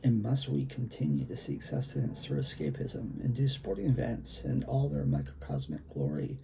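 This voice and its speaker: A man with a gravelly, creaky voice reads his own poetry as spoken word, with deliberate phrasing and emphatic stresses. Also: medium pitch, warm tone, poor audio. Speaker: poet reading own work. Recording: faint background room noise.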